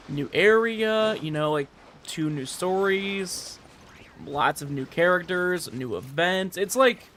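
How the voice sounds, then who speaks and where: A man's voice: on the high side, a bit bright, a little hiss or hum. A man livestreaming a game, chatting casually in a slangy, rambling way. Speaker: gaming livestreamer. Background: video game dialogue and audio.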